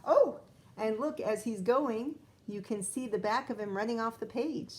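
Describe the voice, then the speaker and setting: A woman's voice: on the high side, normal speed. A woman first-grade teacher reading a picture book aloud to young children, expressively drawing out lines and adding chatty asides.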